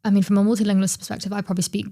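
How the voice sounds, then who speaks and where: A woman's voice: medium pitch, flat delivery, very fast, dark tone. A young woman co-hosting a student podcast, thinking aloud in casual discussion with many fillers.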